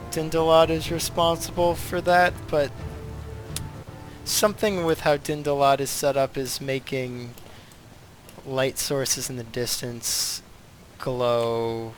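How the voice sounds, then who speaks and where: Man, game streamer, middle pitch, casual commentary, rambling, thinking aloud, background game music. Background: video game music.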